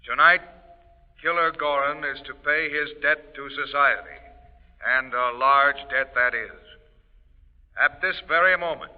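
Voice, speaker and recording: An actor playing a stern prison warden who speaks formally and gives commands, in an old radio drama. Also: male voice, a little high, natural voice. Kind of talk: 1940s radio acting. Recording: tinny old radio broadcast with long reverb.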